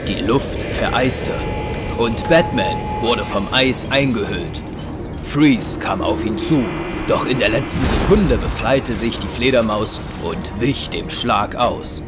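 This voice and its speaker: A man's voice: medium pitch, slow, over loud music. A man reading scripted narration for an audio drama.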